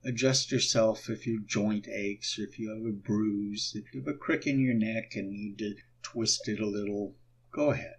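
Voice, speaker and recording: A man narrating a guided meditation, giving instructions in short, deliberate phrases. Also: lowish voice, steady tone, slight background noise.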